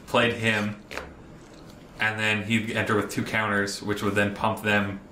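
A man playing a card game on a show, calmly explaining his plays step by step. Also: lowish voice, unhurried. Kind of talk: casual game commentary. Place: indoor studio table, overhead camera. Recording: echoey room with clear background noise.

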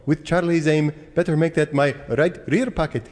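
Man playing a high-voiced, fussy, pompous genie. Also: steady tone, normal speed, darkish tone, long reverb tail, lightly acted. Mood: formal. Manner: pompous, formal. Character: fussy, formal, bewildered genie from a coffee pot. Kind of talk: parody old-time radio serial acting.

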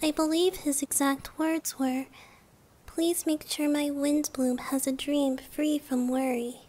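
Woman playing childlike guardian goddess of dreams for comfort ASMR: high-pitched, soft, unhurried, soothing. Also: steady tone. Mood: welcoming, calm. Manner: hushed, reassuring, often near whisper. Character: gentle childlike female dream-guardian deity.

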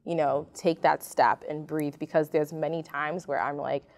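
A young woman from Martinique, whose first language is French, talks earnestly about her past. Her voice sits low with a gravelly fry. She speaks into a lapel mic on a studio stage. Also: steady tone, moderate pace, a little mellow. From raised in Martinique. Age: young adult. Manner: earnest, reflective, many fillers. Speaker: club alumna panelist. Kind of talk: panel interview.

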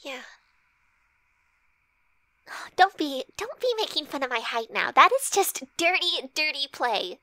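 A woman playing a flirty, shy, teasing best friend in an intimate ASMR roleplay, with breathy, hesitant, soft speech. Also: sits high, big pitch swings, slow, pauses often, dry recording, exaggerated voice. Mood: playful teasing. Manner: breathy whispering, hesitant, giggly.

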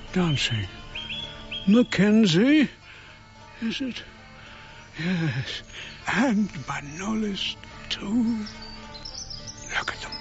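Elderly male archaeologist, slow, brooding and wistful, radio drama with prominent music behind. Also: high voice, fairly bright tone, lo-fi sound, full character voice. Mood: wondering, wistful. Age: older. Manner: measured, halting, brooding delivery.

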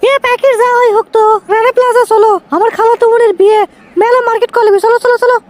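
A man's voice: very high-pitched, flat delivery, fast talker. A man voicing a bossy, impatient young girlfriend in a comic cartoon, scolding with a Bogura accent from northern Bangladesh. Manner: scolding, exasperated.

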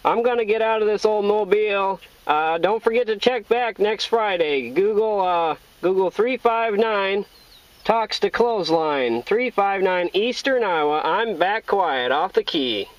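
High-pitched American man on CB radio. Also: expressive, unhurried, no room sound. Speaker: CB radio operator. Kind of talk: casual CB radio chat with jargon. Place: inside a parked or mobile vehicle. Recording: dull, lo-fi in-vehicle sound.

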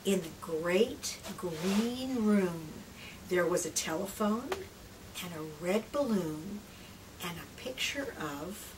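A middle-aged woman gently and expressively reading a picture book aloud to young children, drawing out phrases and sometimes dropping to a hush. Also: on the low side. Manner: gentle, expressive, drawn-out phrases with hushed whispers and questions to the listener. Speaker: children's read-aloud presenter and trainer. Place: small indoor room lined with picture books. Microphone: far camera microphone. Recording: distant microphone, clear background room noise.

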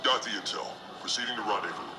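A man's voice: on the low side, fast talker, noisy background, lightly acted. A man acting as a terse, businesslike military operative in a video game. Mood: focused.

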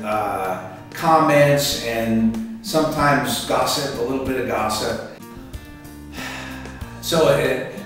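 A man in his sixties giving casual interview answers in a rambling, halting way, often repeating words. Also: mid-range voice. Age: 60s. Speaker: author and martial arts instructor being interviewed. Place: indoor room by a curtained window. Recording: echoey room, distant mic, music underneath. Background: background music.

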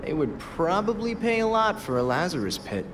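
A young man playing an earnest, sharp vigilante hero in a video game, inquisitive, wry and challenging in manner. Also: high voice, sing-song, moderate pace, a little hiss or hum. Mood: curious, probing. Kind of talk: video game cutscene acting.

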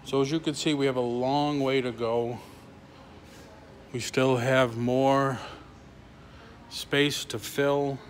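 A middle-aged man chatting casually on a live vlog from inside a shop, in a halting way, recorded on a handheld phone. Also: medium pitch, fairly even intonation. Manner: halting, reading and answering comments. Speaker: toy reseller livestreaming. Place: large concrete-floored antique mall booth indoors. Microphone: phone held at arm's length or closer. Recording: handheld phone with clear ambient noise.

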